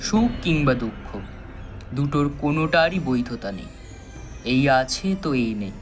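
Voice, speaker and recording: A man narrating a scripted thriller story with measured, dramatic phrasing and pauses. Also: mid-range voice, animated. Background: background music.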